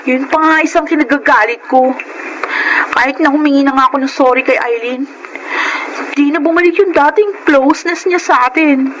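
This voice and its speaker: A woman's voice: sits high, normal speed, noisy background, close to an everyday voice. An actress playing a fallible, self-concerned young female schoolmate in a Filipino radio drama. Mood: annoyed. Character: Giselle, irritable scheming schoolmate, later humbled wife.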